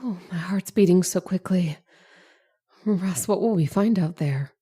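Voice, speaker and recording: Actress as anxious, breathless wife in radio horror. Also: female voice, on the low side, a little slow, warm tone, slightly rough. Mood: frightened. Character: anxious, dreamy young wife, easily frightened. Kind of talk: old-time radio horror drama acting.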